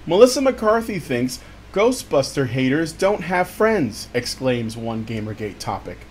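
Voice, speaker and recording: Animated man in theatrical read-aloud. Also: mid-range voice, measured pace, a little hiss or hum. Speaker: show host reading an article aloud. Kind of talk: dramatic storytime read-aloud of an article.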